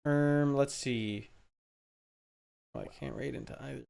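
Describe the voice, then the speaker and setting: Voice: medium pitch, a little slow, gravelly, a little mellow, clear, resonant tone. A video game streamer chatting casually and crudely during a livestream, with a conversational, loose delivery. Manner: crude, swearing. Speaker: streamer reading game dialogue aloud.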